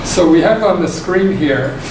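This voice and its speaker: A soft-spoken man giving a measured public lecture. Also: high voice, lively intonation. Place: lecture hall. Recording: echoey hall, far from mic, noisy.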